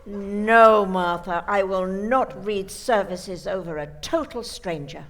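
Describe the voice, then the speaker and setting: A woman's voice: mid-range voice, slow pace. A woman playing a sweet, prim elderly aunt in a radio play, genteel and easily affronted. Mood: indignant.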